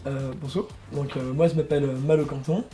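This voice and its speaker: A man's voice: mid-range voice, fairly even intonation, a little fast, warm tone. An eighteen-year-old male trainee giving an interview testimonial. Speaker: work-study trainee. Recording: echoey, distant mic, music behind.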